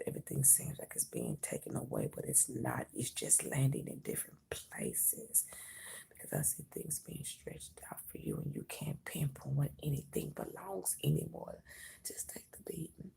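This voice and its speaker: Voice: mid-range voice, huge pitch swings, moderate pace, creaky, warm tone, slightly rough, rough recording. A tarot reader giving an intimate, whispered reading to the viewer, emphatic and reassuring, calling the listener honey and mama. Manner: emphatic, reassuring, repeats phrases.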